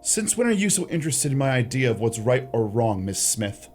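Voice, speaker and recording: An actor playing an earnest, romantic and easily exasperated shop clerk in a radio play. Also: male voice, mid-range voice, big pitch swings, fairly quick, darkish tone, faint music behind, lightly acted. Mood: curt. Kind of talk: radio theatre acting.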